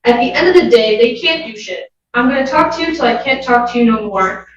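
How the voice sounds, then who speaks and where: A woman's fairly high voice comes from far off in a very echoey courtroom. She argues as a prosecutor, formal, composed and matter-of-fact. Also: expressive, fairly quick, lo-fi sound. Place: indoor courtroom. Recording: very echoey courtroom, distant mic.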